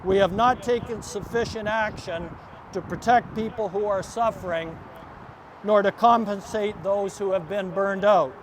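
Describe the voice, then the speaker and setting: A man reads out a formal activist statement. His delivery is emphatic and deliberate, made for a public gathering. Clear street and vehicle noise runs behind him. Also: sits high, low-quality recording. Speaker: activist reading an open letter. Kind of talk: formal public statement read aloud at a protest. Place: outdoors at an office-front protest. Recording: outdoor street noise and traffic.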